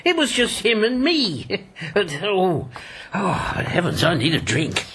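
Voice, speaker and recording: An actor playing a roguish, haunted old gentleman in a radio drama, with a gravelly voice and genteel old-fashioned slang. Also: high voice, big pitch swings, slow, exaggerated voice. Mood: merry, excited. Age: older. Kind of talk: broadcast radio drama acting.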